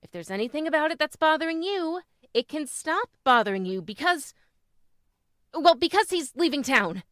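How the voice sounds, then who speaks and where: A woman playing an earnest, flustered young mother with a halting manner in an old-time radio drama. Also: sits high, animated, a bit bright, no echo, studio quality. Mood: flustered, defensive.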